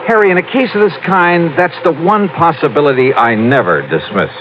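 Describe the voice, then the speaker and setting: A man's voice: fairly high pitch, expressive, brisk, natural voice. An actor playing a shrewd, wisecracking male insurance investigator in old-time radio style, with a wry, clipped manner. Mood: dry, questioning. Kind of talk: old-time radio detective acting and narration. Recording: dull old radio recording with music.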